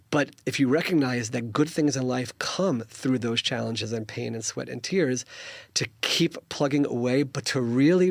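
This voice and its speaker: A man's voice: mid-range voice, gravelly, no echo. A man in his fifties, originally from the United States, earnest and preachy as a studio interview guest, often restarting his sentences. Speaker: guest, politician.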